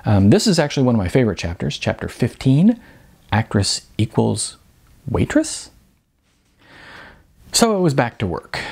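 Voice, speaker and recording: Husky, expressive middle-aged male storyteller. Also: mid-range voice. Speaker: author reading his own novel. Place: small furnished room indoors.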